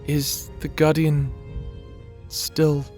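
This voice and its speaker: A man's voice: a little high, completely flat delivery, extremely slow, a little mellow, faint music behind. A man playing a timid, awkward young wizard in a fantasy audio roleplay, earnest and apologetic, with a hesitant, stammering delivery. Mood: earnest, pleading.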